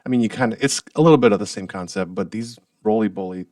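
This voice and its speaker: Low-voiced host, dry and a bit off-mic. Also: male voice, fast talker, mellow, no room sound, recorded from a distance. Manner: friendly questions, short backchannels. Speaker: podcast host. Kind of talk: casual interview.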